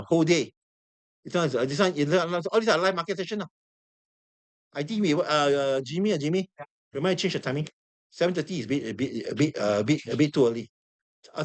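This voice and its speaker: A high-voiced man with a Singaporean English accent lecturing to a classroom of students. He sounds hoarse and worn, repeats words and keeps quizzing the room as he explains. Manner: animated, repeats himself, asks the room questions. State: hoarse, losing his voice. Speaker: trading instructor.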